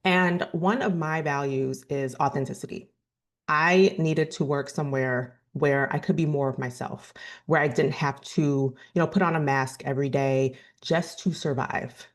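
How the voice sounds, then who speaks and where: A woman in her thirties with a deep register, earnestly telling a personal story to camera and often correcting herself mid-sentence. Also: fairly even intonation, measured pace. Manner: earnest, thoughtful, with frequent self-corrections and "you know". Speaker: vlogger.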